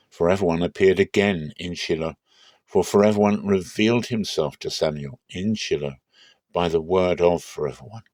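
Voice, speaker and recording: A man reading scripture aloud dramatically, in a measured, solemn and expressive way. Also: deep voice, no echo. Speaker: Bible reader.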